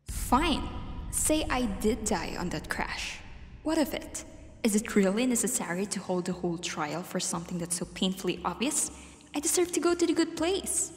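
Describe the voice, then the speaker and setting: A woman's voice: mid-range voice, sing-song, moderate pace, natural voice. A woman playing a haughty, demanding rich young woman on trial in an amateur radio drama. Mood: defiant. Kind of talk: student radio drama acting. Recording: echoey hall, faint noise.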